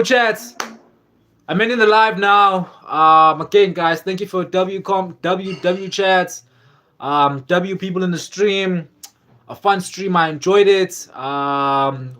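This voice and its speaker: A young man with a slightly high voice, rambling casually through a live stream. He loops back on his words, leans on fillers, and reads viewer comments aloud as he goes. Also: fairly bright tone. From South Africa. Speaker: livestream host.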